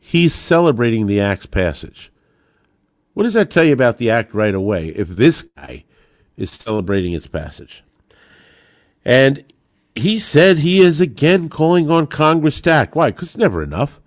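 A man's voice: mid-range voice, big pitch swings, moderate pace, no room sound, clean studio sound. A man hosting a podcast of opinionated political commentary, speaking in an emphatic, sarcastic way and repeating words for emphasis. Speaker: podcast host.

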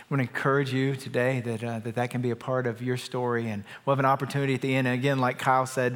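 A man pastor preaches in a relaxed, talky way, repeating words and slipping in light jokes. His voice sits at a middle pitch, and a long reverb from the big hall trails behind each phrase. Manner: warm-up, joking, repeats words. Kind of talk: sermon.

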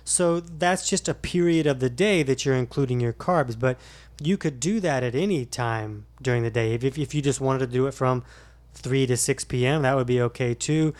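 A man's voice: mid-range voice, normal speed, darkish tone. Easygoing man explaining fitness advice. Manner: explanatory, easygoing, frequent fillers. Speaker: podcast co-host, fitness coach. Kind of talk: casual podcast chat.

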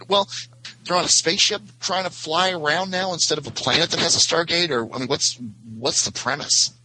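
A man's voice: fairly high pitch, a little fast, sharp tone, low-quality recording. A middle-aged man chatting casually as a podcast guest in a rambling, stop-and-start way. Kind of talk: casual chat. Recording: faint background noise.

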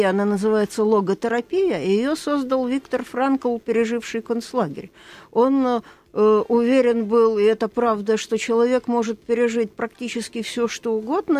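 A woman's voice: a little high, a little mellow. A woman co-hosting a radio talk show as a psychologist, explaining confidently. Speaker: psychologist co-host.

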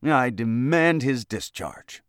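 A man voicing a pompous, short-tempered gentleman lobbyist in a storybook, stony and indignant. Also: medium pitch, expressive, takes it slowly, clear, resonant tone, no echo, studio quality, pitched above the performer's own voice.

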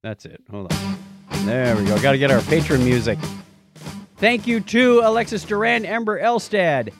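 A playful man hosts a casual podcast, joking as he goes. His voice sits a little high and swings animatedly in pitch. Faint music plays behind him. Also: expressive, moderate pace. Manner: jokey, playful. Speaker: podcast host. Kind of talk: casual chat, listener shout-outs.

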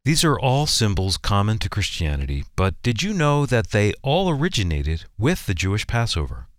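A male announcer delivers scripted radio promotion in a slightly low voice. His pitch moves in wide, animated swings, and the sound is close and dry. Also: moderate pace, no room sound.

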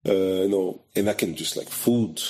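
Brazilian-accented man with a low voice. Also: husky. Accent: Brazilian Portuguese. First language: Portuguese. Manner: animated storyteller who acts out quoted voices, halting second-language phrasing. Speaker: guest, photographer. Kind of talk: casual conversational interview.

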